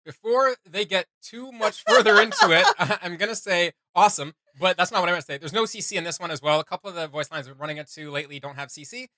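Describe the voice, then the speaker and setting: Male reaction host, higher-than-average bright voice, relaxed chatty commentary with some hesitancy, closely recorded and dry. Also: quick pace, few pauses, no echo.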